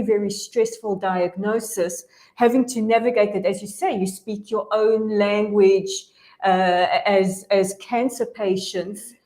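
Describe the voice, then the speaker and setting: A woman's voice: mid-range voice, warm tone. A middle-aged woman hosting a radio interview show from a studio, effusive and enthusiastic in introducing guests, on a studio microphone. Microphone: studio mic with headphones.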